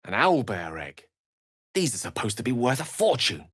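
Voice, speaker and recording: An eloquent, theatrical wizard, richly expressive. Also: male voice, medium pitch, moderate pace, breathy edge, dry recording, studio quality. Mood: wry, amused. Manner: eloquent, wry, theatrical phrasing. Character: erudite, witty, theatrical male wizard with courteous irony. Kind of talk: fantasy video game voice acting.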